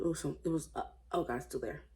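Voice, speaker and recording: Low-voiced sassy American woman. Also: quick pace, warm tone. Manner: informal, sassy, blunt asides. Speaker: host reading online stories aloud. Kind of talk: casual reading with running commentary.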